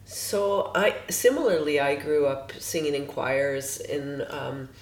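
A woman's voice: sits low, slow. Woman in an echoey room. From Los Angeles, USA. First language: Hungarian. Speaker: guest musician. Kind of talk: casual interview chat.

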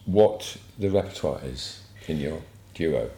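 A man's voice: a bass voice, expressive, takes it slowly, creaky, dark tone, roomy sound. A man hosting an interview and prompting his guests with short questions. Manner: brief encouraging interjections. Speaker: host.

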